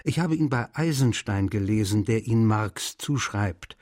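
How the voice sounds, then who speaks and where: Helpful French novelist, unhurried, dry close sound, precise letter reading, radio feature. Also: male voice, on the low side, animated, darkish tone, no echo. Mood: deliberate, helpful. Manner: deliberate, matter-of-fact, precise. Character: helpful, thorough male French novelist answering his translator's letter.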